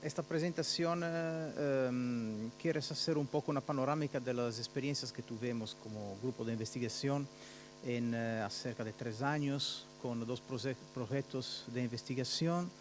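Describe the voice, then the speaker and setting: An Italian man presenting research at a conference in a second language. He speaks haltingly, often searching for words, and slips Portuguese words in. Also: medium pitch, slight background noise. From Italy.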